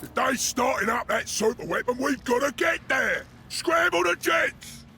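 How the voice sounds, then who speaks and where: Gravelly, bellowing ork brute. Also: male voice, very high-pitched, expressive, unhurried, slight background noise, full character voice. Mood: eager, bellowing. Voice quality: gravelly, shouting. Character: brutish, hot-tempered ork flyboy boss, gleefully warlike. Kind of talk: video game character acting. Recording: loud game music behind the voice.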